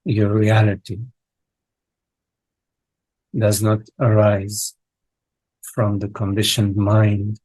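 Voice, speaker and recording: A man leading a guided meditation as a teacher, speaking in halting short phrases with long contemplative pauses and a husky voice. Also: lowish voice, completely flat delivery, darkish tone, no echo, low-quality recording. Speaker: meditation teacher leading a live online session.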